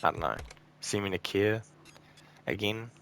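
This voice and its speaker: A weary man with a low, gravelly, croaky voice talks casually over gameplay. He sounds tired and burnt out, rambling and trailing off between thoughts. A faint hiss of noise sits under the recording. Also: measured pace, fairly bright tone. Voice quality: croaky, a bit raspy. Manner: subdued, rambling, frequent ums and trailing off. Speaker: gaming channel host.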